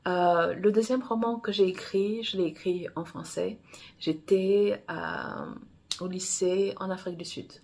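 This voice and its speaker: A woman's voice: fairly low pitch, vocal fry, a little mellow. A woman from Angola, a native Portuguese speaker, talking casually as an author in a rambling monologue, often restarting and correcting herself. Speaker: romance author.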